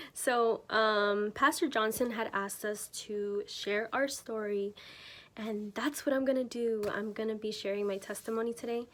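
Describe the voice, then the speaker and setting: A woman's voice: on the high side, steady tone, measured pace. A woman in her twenties, from Mexico, telling her personal faith testimony to camera in a casual, unpolished way with many ums and self-corrections. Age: 20s. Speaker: church member sharing her testimony.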